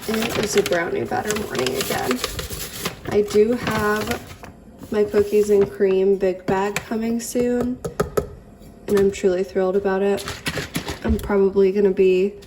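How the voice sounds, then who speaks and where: A woman's voice: mid-range voice, slow, pauses often, background noise. A young woman vlogging casually, chatty and upbeat, sounding congested from a cold. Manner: chatty, upbeat, lots of "like" fillers. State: congested, stuffy nose. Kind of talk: casual vlog chat to camera.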